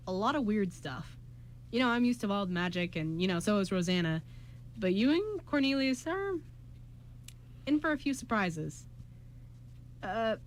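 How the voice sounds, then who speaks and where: A woman playing an earnest, hesitant male time-traveling mentor in an amateur radio play, with a halting, friendly manner. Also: on the high side, slight background noise. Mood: friendly, relieved.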